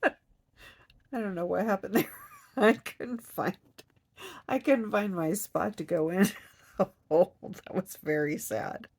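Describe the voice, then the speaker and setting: A woman's voice: medium pitch, takes it slowly, long pauses, a little mellow, slightly rough, dry recording. A woman crocheting on camera, a little stuffed up, chatting to herself and counting stitches under her breath. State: stuffed up nose. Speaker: crochet vlogger. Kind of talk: casual hands-on crafting demo.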